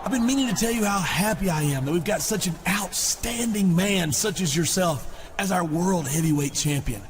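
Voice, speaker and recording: A man's voice: high-pitched, normal speed, background noise, natural voice. A man voicing a blustering, bullying wrestler. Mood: fake friendly. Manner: loud, boastful, turns to insults. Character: blustering male wrestling champion, fake-friendly bully. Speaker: wrestler in a game cutscene. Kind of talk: video game cutscene acting.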